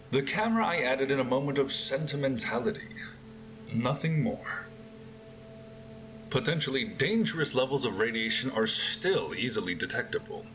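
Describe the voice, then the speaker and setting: Formal, meticulous bunker survivalist. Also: male voice, medium pitch, low-quality recording. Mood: clinical, smug. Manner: formal, precise, self-satisfied. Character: meticulous, aloof male bunker survivalist keeping a log. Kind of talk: audio drama log entry, formal monologue.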